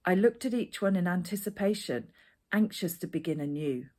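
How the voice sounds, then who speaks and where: A woman's voice: fairly low pitch, expressive, moderate pace, darkish tone. A woman reading a personal narrative aloud as its author. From Edinburgh, Scotland. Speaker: author reading their own essay.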